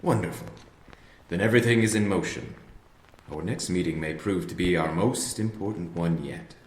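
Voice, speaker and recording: A man's voice: low-pitched, normal speed, close to an everyday voice. An actor playing a solemn, formal older father who leads a secret order in an audio drama. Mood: approving, controlling. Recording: echoey chamber with faint noise.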